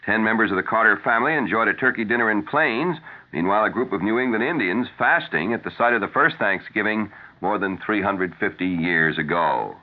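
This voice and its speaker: A man's voice: lowish voice, animated, brisk. An American man reading scripted news as a radio anchor on a 1970s broadcast. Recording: thin narrow-band 1970s radio broadcast.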